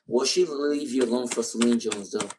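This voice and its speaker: Tarot reader with a speech impairment, repeating himself. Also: male voice, medium pitch, normal speed, noisy background. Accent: non-native English. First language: not English. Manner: repeats names and questions while pulling cards. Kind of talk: live-stream tarot reading.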